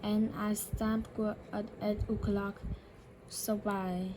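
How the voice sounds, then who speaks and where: A woman practising English by repeating a dialogue line by line, slowly and carefully, with occasional self-corrections. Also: mid-range voice, little pitch movement, background noise. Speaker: English learner.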